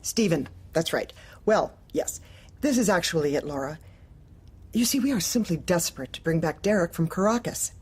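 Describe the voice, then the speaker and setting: A woman's voice: fairly low pitch, lively intonation, normal speed, a little mellow, breathy edge. A woman playing a manipulative, officious corporate manager in a darkly comic audio drama, speaking with bland politeness and veiled menace. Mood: falsely sympathetic. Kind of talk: audio drama acting, dark comedy.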